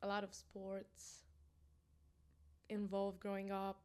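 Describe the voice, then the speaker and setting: A woman's voice: mid-range voice, smooth. Casual young woman from Romania. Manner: relaxed, halting, with many ums and restarts. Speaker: pickleball player and coach, guest. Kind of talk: casual interview answers.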